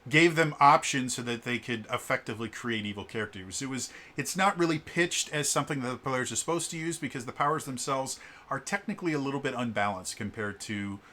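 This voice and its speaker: A man with a deep voice giving a casual solo review of a tabletop game book, often stuttering on the first sound of a word between fillers. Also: brisk, pushed and bright, a little hiss or hum. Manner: stuttering restarts on words, many fillers.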